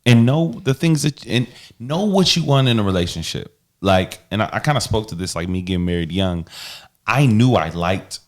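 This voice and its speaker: A man's voice: on the low side, animated, moderate pace. A man co-hosting a relationship podcast, talking casually and playfully and slipping into mock quotes as he tells stories. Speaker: podcast co-host and minister.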